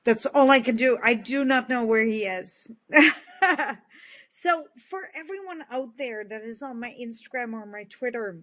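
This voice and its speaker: A woman in her fifties who grew up in the Netherlands, with a big booming voice that sits a little high for a woman. She talks straight to her followers in a casual live stream, earnest and sincere. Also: animated, a little slow. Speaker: kindness movement speaker.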